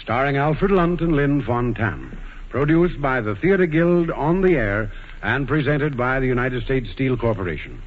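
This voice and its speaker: A man's voice: medium pitch, big pitch swings, moderate pace. A man reading scripted announcements as a formal 1940s radio announcer. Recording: tinny, narrow old radio broadcast.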